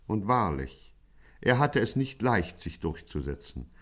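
An actor playing a pompous, mock-heroic narrator in a 1950s radio play, declaiming dramatically. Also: male voice, on the low side, very animated, a little slow. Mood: grandly ironic. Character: pompous mock-heroic male chronicler of a gangster.

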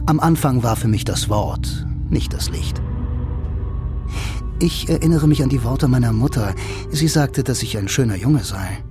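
Radio drama narration over clear music: a sardonic, self-assured blind bank employee played by a male actor. He confides in the listener with dry irony. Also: medium pitch, natural voice. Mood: confiding, wry. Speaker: first-person narrator and lead actor.

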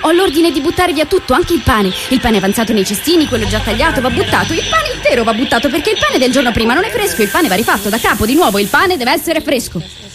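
A weary young working woman in a stage monologue. Her voice is high, with a deadpan, repetitive, ironic delivery. Music plays clearly behind her. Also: quick pace. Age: young adult. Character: weary young female low-wage worker, bitterly ironic. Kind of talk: theatre monologue, deadpan listing.

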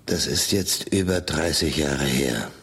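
An actor in a German radio play as a middle-aged researcher, dry, sardonic and measured in his delivery. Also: a bass voice, a bit bright, a little hiss or hum, exaggerated voice. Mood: reflective, matter-of-fact. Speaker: narrator and lead character of a radio play. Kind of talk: German radio drama acting, first-person narration.

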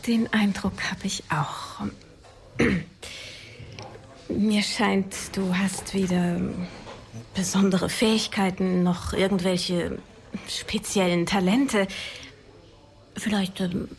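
A woman's voice: medium pitch, steady tone, slow, pauses often, a little hiss or hum. A woman playing a nervous, officious job agent in a fantasy radio drama, stammering politely and fussing. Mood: hesitant, polite.

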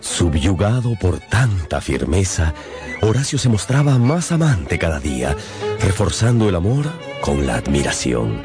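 A man narrating a radio novel in a theatrical, measured and wry manner. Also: deep voice, big pitch swings. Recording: music behind.

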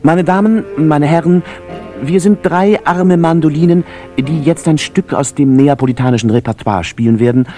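A man's voice: a little high, normal speed, warm tone, faint music behind, voice pushed up, natural voice. A man voicing a shy, poor little lady mandolin who speaks with formal, pleading politeness in a children's story. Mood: shy, polite.

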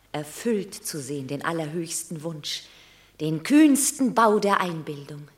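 A woman's voice: medium pitch, sharp tone, exaggerated voice. A proud Roman mother. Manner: measured, solemn verse delivery. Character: proud stern Roman mother of a war hero. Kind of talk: classic radio play acting.

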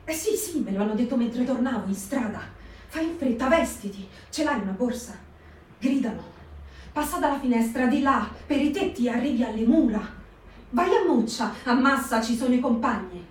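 A woman's voice: on the high side, expressive, a little hiss or hum, lightly acted. A woman voicing a townswoman telling of urgently warning a fugitive to flee. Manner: urgent, hurried storytelling. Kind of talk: stage reading for radio. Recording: echoey room, distant mic.

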